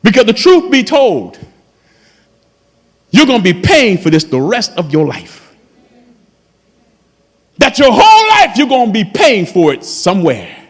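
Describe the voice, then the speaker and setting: Fervent man in his fifties, soaring high swooping delivery, preaching in a church with a long echo. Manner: impassioned preaching, calls out to the congregation. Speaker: pastor. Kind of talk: sermon. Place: church sanctuary. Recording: long hall reverb in a church.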